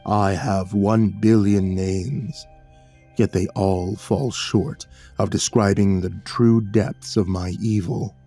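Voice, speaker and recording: A man voicing a sulky, scornful goth demon lord giant who talks slowly in a low, rumbling voice. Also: steady tone, warm tone, faint music behind. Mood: menacing. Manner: slow, sneering, petulant.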